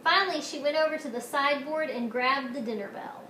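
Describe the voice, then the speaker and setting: High, bright-voiced woman reading a storybook. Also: a little fast. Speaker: storybook reader. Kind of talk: children's read-aloud narration. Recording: roomy echo with faint noise.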